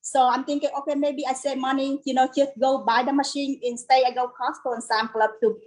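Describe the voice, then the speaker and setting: High-voiced Vietnamese-accented woman, chatting informally. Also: flat delivery, a little fast, darkish tone. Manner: chatty, informal, non-native grammar. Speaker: guest, home business owner. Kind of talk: casual interview chat.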